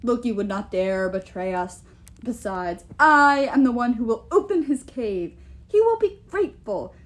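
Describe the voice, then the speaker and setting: A woman's voice: on the high side, very animated, unhurried. Lady doing a big menacing giant king for an audiobook, pompous, swoopy emphatic speech. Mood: boastful, insistent. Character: huge boastful male Giant King, gullible and menacing. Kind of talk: audiobook character voice.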